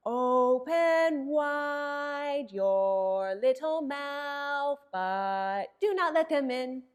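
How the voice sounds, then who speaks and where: A woman hosting a children's story time, speaking in a singsong, encouraging way with simple words and an exaggerated, dramatic delivery. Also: sits high. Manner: simple, encouraging talk aimed at young children.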